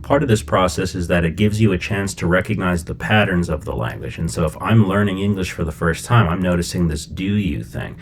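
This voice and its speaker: A middle-aged man from Chicago explaining a language-learning method in a relaxed, conversational way, with plenty of fillers and check-ins. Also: low-pitched, fairly quick, hardly any pauses, a little hiss or hum. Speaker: language teacher. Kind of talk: casual explanatory lesson.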